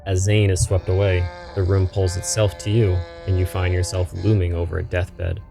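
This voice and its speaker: A man's voice: low voice, level tone, moderate pace, vocal fry. A man reading a story game aloud and commenting on it as a gaming host, with music playing behind him. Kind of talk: let's play commentary and narration read-aloud.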